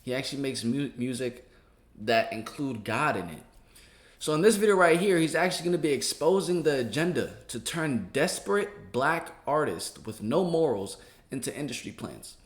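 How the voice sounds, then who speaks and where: A man's voice: mid-range voice, animated, normal speed. An American man talking casually in street slang, giving reaction commentary as a channel host. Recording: echoey room.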